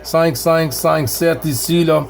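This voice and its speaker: A man's voice: a little high, takes it slowly, creaky. Friendly Québécois man on CB radio. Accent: Québécois French. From Quebec. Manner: relaxed, friendly, repeats himself with fillers. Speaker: CB radio operator. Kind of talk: casual radio chat.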